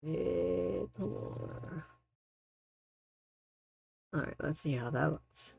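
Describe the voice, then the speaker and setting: A woman's voice: low voice, extremely slow, gravelly, mellow, slightly rough, poor audio. A woman chatting casually as she works through a tutorial, with music behind her. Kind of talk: casual chat while working on a project.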